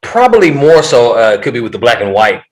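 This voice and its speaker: A man's voice: mid-range voice, brisk, low-quality recording. A man in his late forties hosting a podcast as a coach, talking animatedly and often restarting his sentences. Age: 40s. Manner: animated, repeats words when starting sentences. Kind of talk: conversational interview.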